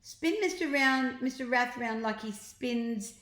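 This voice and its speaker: Woman as a cheeky high-voiced schoolboy. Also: measured pace, fairly bright tone, echoey room. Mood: bold, cheeky. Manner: chirpy, sarcastic, commanding. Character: cheeky, sarcastic young schoolboy hero. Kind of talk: children's storybook read-aloud.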